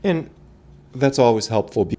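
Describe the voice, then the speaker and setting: A man talking through voice and breathing exercises in a relaxed, conversational way. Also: mid-range voice, a little slow. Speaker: voice coach. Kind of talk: instructional talk.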